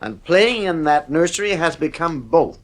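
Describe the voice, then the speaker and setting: A man's voice: on the high side, animated, normal speed, lightly acted. An actor playing a stern, exasperated middle-aged father in a 1950s radio drama, speaking in a firm, clipped, authoritative way.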